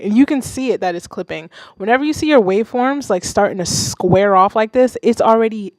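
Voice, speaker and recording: A woman's voice: medium pitch, a little mellow, dry recording. A young woman with a Haitian accent, hosting a livestream and giving casual, rambling feedback on submitted tracks, swearing freely and laughing often. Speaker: streamer reviewing submitted music.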